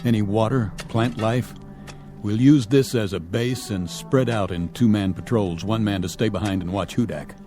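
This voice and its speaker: Man acting a low-voiced, stern space commander. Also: moderate pace, dark tone, soft background music, close to an everyday voice. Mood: grim, measured. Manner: authoritative, pragmatic. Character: stern, commanding male spaceship colonel, steady under strain. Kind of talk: radio drama acting.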